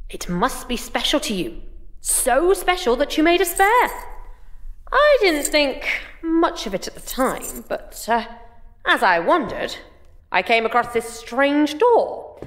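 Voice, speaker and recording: A woman playing a cheeky, high-voiced journalist. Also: fairly bright tone. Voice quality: playful, teasing, confident. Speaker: Eleanor Agnew. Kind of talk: audio drama acting.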